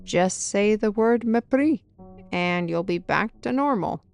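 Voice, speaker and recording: A knowledgeable female companion from a fantasy audio drama, acted by a woman. She explains things earnestly and at length, in a medium-pitched voice. Soft music sits underneath. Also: lively intonation, a little slow, creaky. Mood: instructive. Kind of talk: fantasy audio roleplay acting.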